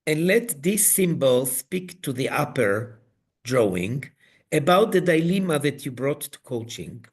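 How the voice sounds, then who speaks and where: Slow-speaking man coaching on a video call. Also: a little high, very animated, slightly rough. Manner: slow, halting, non-native phrasing. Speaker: coach leading a webinar. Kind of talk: online webinar demonstration.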